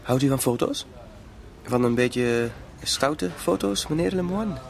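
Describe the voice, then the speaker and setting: Creaky-voiced, smooth blackmailer. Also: male voice, mid-range voice, expressive, unhurried, a little hiss or hum. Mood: sly, insinuating. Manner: mock-polite, insinuating. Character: smooth, self-assured male blackmailer, coolly polite. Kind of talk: radio drama acting.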